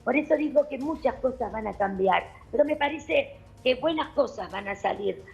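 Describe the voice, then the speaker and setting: A woman doctor with an Argentine accent, explaining calmly and methodically on a television panel. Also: sits high, gravelly, highs cut off. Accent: Argentine Spanish. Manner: measured, explanatory, addresses host formally.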